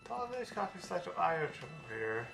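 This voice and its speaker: A man's voice: high-pitched, big pitch swings, moderate pace, vocal fry. A man giving casual, off-the-cuff livestream commentary on a game, recorded in a roomy, noisy space. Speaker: game streamer. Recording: roomy, noisy streaming microphone.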